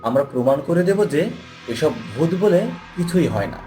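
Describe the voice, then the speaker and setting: Brash young male villager, cartoon man putting it on; higher-pitched, teasing, colloquial, over clear music. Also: animated, normal speed, warm tone, lightly acted. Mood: confident. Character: bold, dismissive young village man teasing timid friends.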